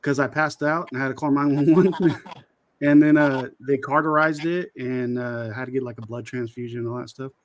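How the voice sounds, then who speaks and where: A man's voice: medium pitch, steady tone, a little fast. A man in his forties chatting casually and profanely in a group conversation. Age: 40s.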